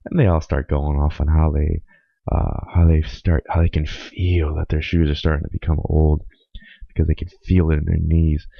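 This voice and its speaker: A young man hosting a casual solo podcast, hoarse and raspy from a cold, rambling with lots of ums. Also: rumbling low, no room sound, dull audio. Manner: rambling, with many ums and uhs. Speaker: podcast host.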